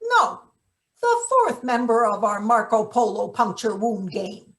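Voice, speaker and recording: A sardonic older forewoman played by a woman in a satirical stage play reading. Her voice sits fairly high, and she talks in dry, wordy sarcasm with a hard, bossy edge. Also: very animated, unhurried. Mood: sardonic, scolding. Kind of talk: live table read of a satirical play.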